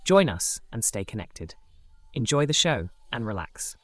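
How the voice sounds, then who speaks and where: Lively male podcast announcer, very dry sound. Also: lowish voice, takes it slowly, no room sound. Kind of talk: scripted promotional announcement.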